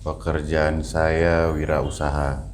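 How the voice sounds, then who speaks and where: Male, 29, deep register, informal interview guest who repeats phrases as he explains; slightly noisy room. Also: flat delivery, slow pace. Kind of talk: casual personal account.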